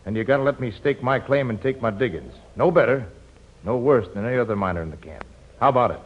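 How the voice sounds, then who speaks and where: An actor in a 1950s radio drama playing a decisive, plain-spoken frontier miner with an American accent and a brisk, folksy manner. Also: male voice, mid-range voice, expressive, breathy edge. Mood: determined, bargaining. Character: decisive, plain-spoken male Old West newcomer miner. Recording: dull, noisy 1950s radio recording.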